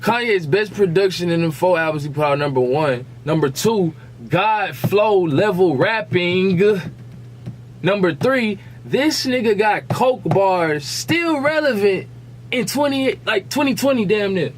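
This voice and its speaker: A man with a fairly high voice and an American accent chats and argues as a podcast co-host. His talk is full of slang and swearing. The recording carries faint noise and hum behind him. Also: expressive, a little slow. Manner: slangy, profane, argumentative. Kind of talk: casual chat and debate.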